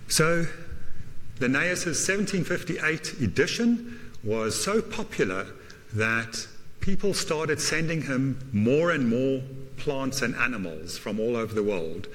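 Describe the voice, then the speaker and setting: A man whose first language is German gives a university lecture, halting often with fillers and adding self-deprecating asides. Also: mid-range voice, expressive, fairly bright tone. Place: lecture hall. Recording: lecture hall reverb.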